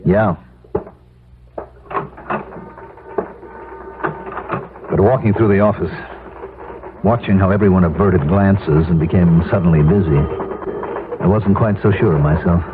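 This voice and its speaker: A man's voice: low-pitched, normal speed, lightly acted. An actor playing a middle-aged office man who has lost his job, wry, weary and self-doubting, in a 1970s radio drama. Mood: uneasy reflection. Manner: wry, resigned, hesitant. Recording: tinny, noisy old radio broadcast recording.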